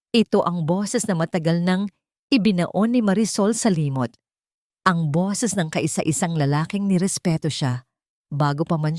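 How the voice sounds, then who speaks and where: A woman's voice: low voice, a little mellow, no echo, studio quality. A woman reading scripted, dramatic story narration.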